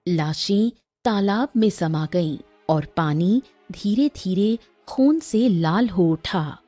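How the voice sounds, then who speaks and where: Woman storyteller, slow and dark voiced, dramatic narration w faint music. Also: mid-range voice, animated.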